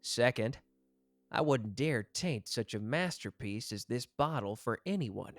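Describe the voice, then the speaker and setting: A man's voice: mid-range voice, lively intonation, measured pace, faint music behind. A man acting a suave, teasing young mafia executive with a love of fine wine, speaking playfully to the listener in a roleplay audio. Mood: mildly exasperated.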